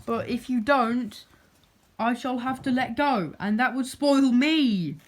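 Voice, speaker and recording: Woman voicing an earnest, high-voiced little toy bear. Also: takes it slowly. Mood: earnest, solemn. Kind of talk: children's storybook character reading.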